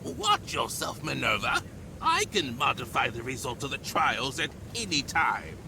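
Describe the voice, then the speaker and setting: An actor playing a haughty, condescending official in a fantasy game, speaking formally and with measured authority. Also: male voice, mid-range voice, wildly swooping pitch, noisy background. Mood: smug, threatening. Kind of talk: dramatic game cutscene acting.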